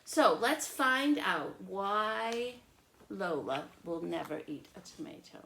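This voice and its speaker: A woman teacher doing a storytime read-aloud with chatty asides, expressive and speaking as if to children. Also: medium pitch, takes it slowly, creaky, a little hiss or hum.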